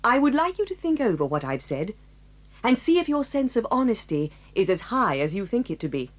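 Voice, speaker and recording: An actress playing a stern, dignified headmistress who reproves pupils in a measured way, in a 1980s audio drama. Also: female voice, a little high, big pitch swings.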